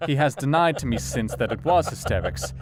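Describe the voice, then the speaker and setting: A man's voice: medium pitch, animated, a little fast, lightly acted. An actor playing a sober, compassionate Victorian doctor, narrating his diary reflectively in an audio drama. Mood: perplexed, intense.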